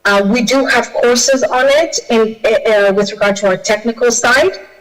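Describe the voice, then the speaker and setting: A woman's voice: a little high, unhurried, soft background music, rough recording. A woman from Kenya, a guest speaker on a video call, answering audience questions with many fillers and restarts. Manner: hesitant, with frequent fillers and restarts.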